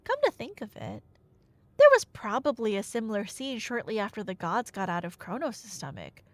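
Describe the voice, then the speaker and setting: A woman's voice: mid-range voice, big pitch swings, normal speed, dry recording. A woman telling a story in a casual, rambling ASMR style, playful and slangy with wry asides. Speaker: storyteller playing the listener's nerdy girlfriend.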